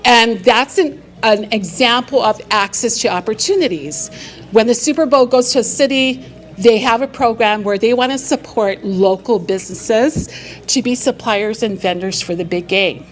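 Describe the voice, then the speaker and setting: A woman's voice: on the high side, moderate pace, soft background music. A middle-aged woman from Hawaii, a business consultant giving a live talk on stage to an audience, upbeat and engaging the crowd with questions. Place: event venue stage.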